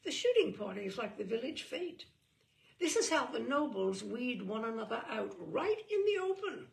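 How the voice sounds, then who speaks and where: A woman's voice: fairly high pitch, big pitch swings, normal speed, gravelly. A woman giving an arch, theatrical, mock-serious reading of a humorous book. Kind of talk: theatrical reading aloud of comic prose.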